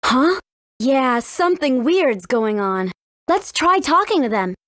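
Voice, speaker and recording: A woman playing a spirited, confident teenage girl in a video game, brisk and questioning. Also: sits high, big pitch swings, fairly bright tone, no echo, studio quality, heavily acted. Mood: concerned. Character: spirited teenage heroine, impatient and sure of herself.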